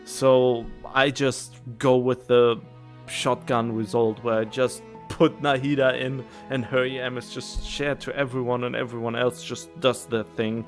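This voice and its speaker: A man's voice: mid-range voice, level tone, unhurried, faint music behind. A man livestreaming a video game, chatting casually and thinking aloud in a rambling, musing way, often correcting himself.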